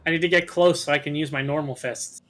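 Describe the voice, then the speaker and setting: Game commentator, mid-pitched voice, matter-of-fact explainer among friends, game music underneath. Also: fairly even intonation, quick pace, fairly bright tone. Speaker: gaming commentator and player. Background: video game music.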